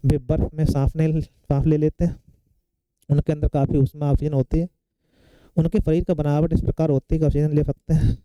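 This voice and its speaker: A man's voice: on the high side, level tone, brisk, mellow, smooth, dry recording, highs cut off. A man giving an exam-prep science lecture, explaining step by step and often checking in with short tag questions. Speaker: science teacher for competitive exam students. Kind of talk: classroom lecture working through exam questions.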